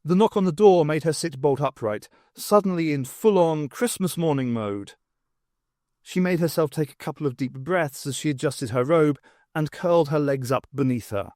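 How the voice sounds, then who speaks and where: A man's voice: fairly high pitch, animated, normal speed, a little mellow, no room sound, studio quality. A man reading scripted dramatic narration of a science fiction story as an audiobook narrator. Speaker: audiobook reader.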